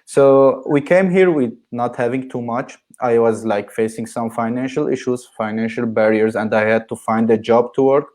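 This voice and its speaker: Young Syrian-born male guest, mid pitch, earnest, filler-heavy delivery. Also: normal speed, hardly any pauses, a little mellow. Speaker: guest, marketing agency founder. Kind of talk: interview answers.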